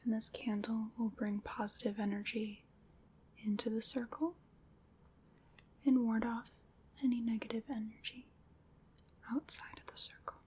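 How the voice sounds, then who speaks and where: Woman as a slow, whispering ASMR witch. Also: on the high side, level tone, breathy edge. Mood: gentle, reassuring. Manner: hushed, slow, deliberate whispering. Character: calm witch leading a Samhain ritual, hushed and soothing. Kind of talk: ASMR roleplay, whispered and softly spoken.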